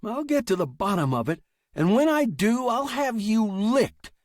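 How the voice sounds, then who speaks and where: A man's voice: high-pitched, very animated, measured pace, dry recording, studio quality, voice pushed up. A man acting a stubborn, flustered male writer in a story, protesting in a melodramatic, emphatic way. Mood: defiant, loud.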